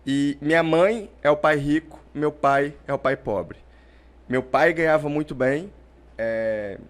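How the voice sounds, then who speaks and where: A man, a podcast guest who answers in a chatty way, often tagging lines with "né?". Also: mid-range voice. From Portugal, raised in Brazil. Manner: chatty, explains with "né?" tags. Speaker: podcast guest, startup founder. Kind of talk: conversational interview answers.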